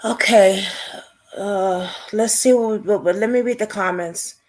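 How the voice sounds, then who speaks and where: A woman hosting a casual livestream, reacting in an informal, halting way with short exclamations. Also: mid-range voice, darkish tone. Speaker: livestream host.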